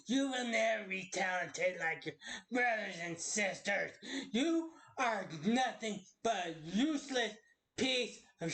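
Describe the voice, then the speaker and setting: Woman as a cruel, slow-scolding cartoon unicorn parent. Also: mid-range voice, fairly bright tone, pitched above the performer's own voice. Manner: harsh, contemptuous scolding. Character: cruel, scornful unicorn parent berating their child. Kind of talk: amateur cartoon villain voice acting.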